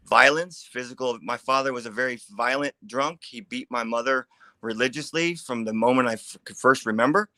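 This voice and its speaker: A man's voice: medium pitch, sharp tone, dry recording. A man in his forties from California, giving his recovery testimony in a candid, plainspoken way with casual grammar. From California, USA. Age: 40s. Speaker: recovery advocate guest. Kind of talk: personal testimony.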